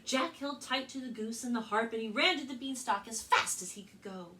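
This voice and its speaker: An American woman working as a children's librarian, telling stories and presenting to kids in an expressive, carefully spoken way. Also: fairly high pitch, a little fast. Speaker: children's librarian and storytime host. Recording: distant mic with faint background noise.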